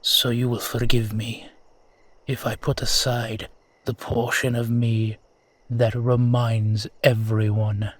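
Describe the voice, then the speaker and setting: Man as a slow, suave ancient vampire. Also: mid-range voice. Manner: measured, theatrical, formal, condescending. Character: suave 450-year-old male vampire guiding a lost stranger, proud, amused. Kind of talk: slow dramatic roleplay audio monologue.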